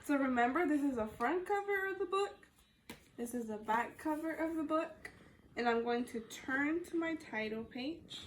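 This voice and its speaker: A woman student teacher reading a storybook aloud to young children, deliberate and pausing to question her listeners. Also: high-pitched, expressive, slight background noise.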